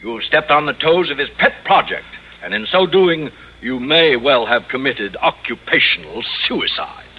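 An actor playing a stern, formal, authoritative school board official in 1950s radio comedy. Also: male voice, mid-range voice, expressive, normal speed, few pauses, slightly rough. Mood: stern, grave. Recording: muffled old radio recording.

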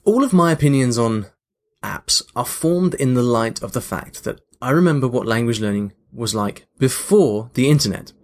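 A man's voice: mid-range voice, lively intonation, normal speed. Conversational man hosting a podcast. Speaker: podcast host. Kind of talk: casual chatty monologue to listeners.

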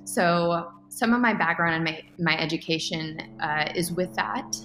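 A young woman talks through her answers as a podcast guest, upbeat and a little rambling, with plenty of fillers. Her voice sits a touch low but has a bright, forceful edge. The room around her rings with echo, and faint music plays underneath. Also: unhurried. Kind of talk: casual interview answers.

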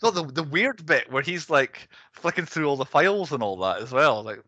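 A man hosting a casual film discussion, talking in a rambling way with animated asides. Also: fairly high pitch, moderate pace, no echo. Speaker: podcast host.

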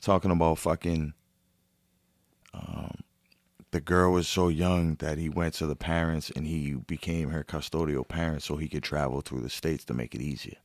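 A podcast host with a deep, low voice talks in a blunt, casual way and swears freely. His sound is dry and close, with no room echo. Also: moderate pace. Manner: blunt, swears a lot, animated. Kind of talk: casual profane chat.

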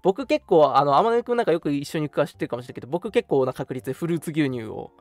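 A man's voice: fairly high pitch, expressive, very fast, dry recording, soft background music. A young man hosting a casual radio chat, talking to his co-host and listeners in a conversational, explanatory way. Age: young adult.

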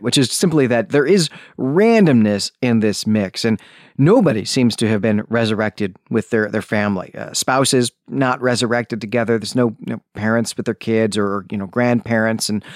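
A man's voice: medium pitch, moderate pace, dry recording, studio quality. A man hosting a book discussion podcast in a chatty, enthusiastic way, with informal asides. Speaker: podcast host.

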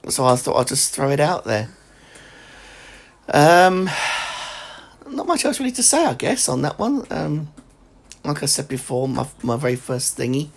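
Brief: man, forty, chatty podcast host, gravelly and a bit husky. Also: on the high side, sing-song, unhurried, pauses often. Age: 40s. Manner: chatty, laughs often. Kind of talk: casual chat.

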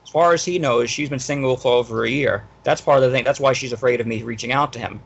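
Male guest, 30s, rattles off his side fast, husky tone, muffled lo-fi audio. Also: mid-range voice. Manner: rapid, defensive storytelling. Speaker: podcast guest. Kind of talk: casual chat.

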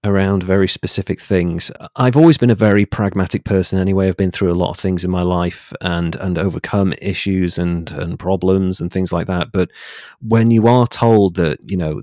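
A middle-aged man giving a personal account as an interview guest, explaining things in a measured way. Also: deep voice, a little mellow, dry recording, clean studio sound.

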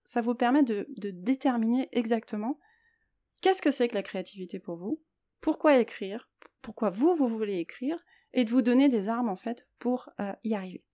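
A woman's voice: fairly high pitch, lively intonation, moderate pace, warm tone. A woman hosting a solo podcast, talking reflectively and hesitantly as she thinks aloud. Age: 30s or older. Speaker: podcast host.

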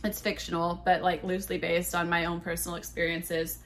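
Bright, chatty woman podcast host. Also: fairly low pitch, little pitch movement, fairly quick. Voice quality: big voice. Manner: chatty, profane and self-mocking, full of "like" and quoted dialogue. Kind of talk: casual solo podcast storytelling.

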